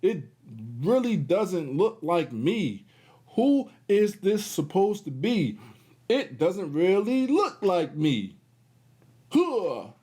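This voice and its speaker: A man's voice: high-pitched, big pitch swings, slow, warm tone, slightly rough, full character voice. A man voicing a blunt, puzzled male duck from a picture book, questioning and unimpressed.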